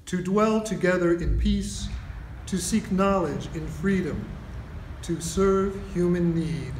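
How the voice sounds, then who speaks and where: A man with a fairly high voice delivers a solemn liturgical reading. He goes very slowly, in measured, declamatory phrases, and the sound is roomy and echoing. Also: darkish tone, a little hiss or hum. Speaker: worship associate leading chalice lighting. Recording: echoey room.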